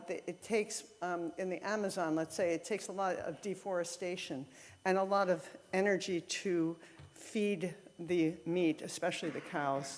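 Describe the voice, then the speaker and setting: Echoey low-voiced woman addressing a congregation. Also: darkish tone. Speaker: church service leader. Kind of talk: informal announcements to a congregation. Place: church sanctuary, indoors. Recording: echoey hall with long reverb.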